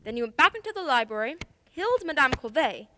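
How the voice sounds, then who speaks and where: Woman as sharp lady detective for radio play: high, animated voice, formal, methodical, assured. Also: quick pace, a little hiss or hum, full character voice. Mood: accusing, assured. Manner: assertive, precise, formal. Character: confident female detective, sharp and methodical, accused of murder.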